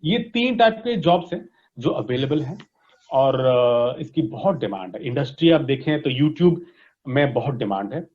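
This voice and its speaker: A man's voice: mid-range voice, lively intonation, a little slow, darkish tone. A man with an Indian accent giving explanatory interview answers, mixing in English and checking in like a teacher. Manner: didactic, frequent check-in tags. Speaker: interview guest, voice industry expert. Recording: dull, lo-fi audio.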